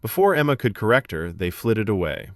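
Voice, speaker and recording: Male fiction narrator. Lowish pitch, very varied intonation, measured storytelling, dry recording. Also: sing-song, dry recording. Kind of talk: scripted audiobook narration.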